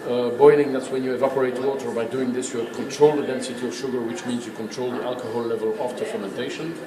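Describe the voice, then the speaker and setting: A man's voice: medium pitch, fairly even intonation, fast, a crowd in the background. A man working as a brewery tour guide, explaining things informally in an emphatic, opinionated, didactic way. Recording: echoey room, clear background noise.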